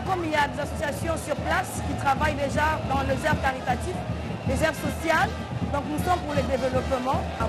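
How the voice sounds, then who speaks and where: A woman's voice: high voice, low-quality recording. A woman in her thirties with a Congolese accent, giving an interview outdoors as a political party member. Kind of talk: press interview. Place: outdoors in a crowd. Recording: outdoor rally, loud crowd and music.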